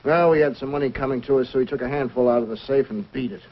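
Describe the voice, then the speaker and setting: An uneasy frontier logger played by a man talks in a plain, defensive way at a medium pitch. It is old radio acting on a narrow, aged recording. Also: fast talker, music in the background, close to an everyday voice.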